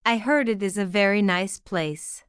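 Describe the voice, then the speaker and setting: A woman reading one side of a scripted English lesson dialogue, speaking in careful, clearly separated simple sentences. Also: mid-range voice, unhurried, no echo, clean studio sound. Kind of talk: slow scripted practice dialogue.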